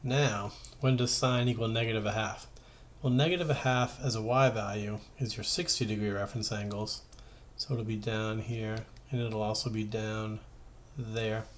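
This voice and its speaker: Man teaching math, thinking out loud. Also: on the low side, fairly even intonation, moderate pace, hardly any pauses, slight background noise. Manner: thinks aloud, self-correcting, conversational. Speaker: high school math teacher. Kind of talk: informal worked-problem math lesson.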